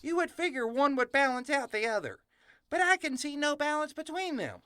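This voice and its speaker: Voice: high voice, very animated, normal speed, bright, forceful tone, no echo, full character voice. A performer voicing a precocious young farm boy in a comic western audio drama, mixing folksy talk with grandiose speech. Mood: earnest, philosophical.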